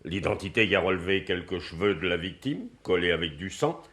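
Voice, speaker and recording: Casting: a doubting, grouchy police inspector for a radio crime drama, fairly low voice, quick to brush arguments aside. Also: male voice, a bit bright. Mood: sceptical, dry. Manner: dismissive, argumentative. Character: sceptical, stubborn, grumbling male police inspector. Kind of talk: French radio mystery play acting.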